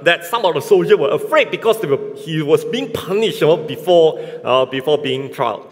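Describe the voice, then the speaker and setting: A man in his thirties with a Malaysian accent preaching a church sermon in short, halting, colloquial phrases, pausing for an interpreter. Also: fairly high pitch, lively intonation. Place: large church hall, indoors, on stage. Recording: echoey hall with long reverb.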